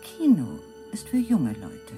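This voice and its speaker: An actress playing a woman announcer in a radio spot, husky and dark, delivering clipped headline phrases. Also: deep voice, wildly swooping pitch, slow, faint music behind, heavily acted. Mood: approving. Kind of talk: radio advertisement acting.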